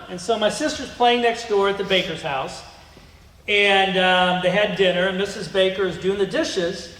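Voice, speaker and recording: A man's voice: high voice, fairly bright tone, slight background noise. A middle-aged man giving a rambling museum talk about his family, full of ums and restarts. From United States. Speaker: guest speaker. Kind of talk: museum lecture with slides. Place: museum lecture room. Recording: echoey hall with long reverb.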